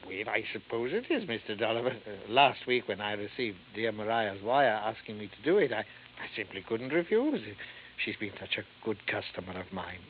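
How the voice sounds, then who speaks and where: An actor playing a fussy, nervous middle-aged art dealer who talks in a flustered, prim way, in a 1950s radio drama. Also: male voice, fairly low pitch, sing-song, normal speed. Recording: tinny lo-fi old radio broadcast with faint hiss.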